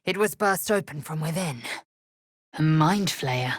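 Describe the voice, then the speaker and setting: Fierce haughty alien warrior lady for a game, husky n slow, real dry close sound. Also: low voice, lively intonation, no room sound. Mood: measured, grave. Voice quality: husky, raspy. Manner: clipped, commanding, haughty, formal wording. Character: proud, fierce, imperious githyanki warrior woman. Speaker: video game character. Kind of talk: game voice acting, short scripted lines.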